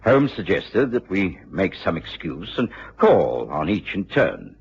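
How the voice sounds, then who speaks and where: An English actor playing a courteous gentleman doctor and storyteller in a vintage radio drama. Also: deep voice, very animated, measured pace, gravelly, slight background noise, heavily acted. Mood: measured storytelling. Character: Doctor Watson, courteous English gentleman narrator, easily astonished. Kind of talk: 1950s BBC radio drama acting and narration. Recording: dull vintage radio recording, music.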